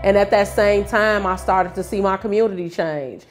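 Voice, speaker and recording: A woman's voice: mid-range voice, moderate pace, soft background music. A middle-aged woman with an American accent telling her personal story as a political candidate. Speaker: city council candidate. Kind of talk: personal testimony in a campaign video.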